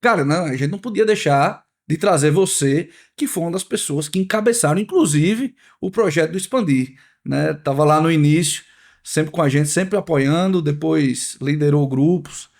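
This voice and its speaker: A male podcast host with a notably high voice and a gravelly edge. He keeps things chatty and jokey, asking his guest leading questions. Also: normal speed. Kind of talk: casual podcast interview.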